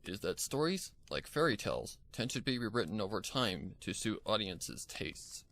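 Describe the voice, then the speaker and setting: A man voicing a calm, knowledgeable male pony who explains old tales in an audio story. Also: lowish voice, normal speed, gravelly, heavily acted. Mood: matter-of-fact.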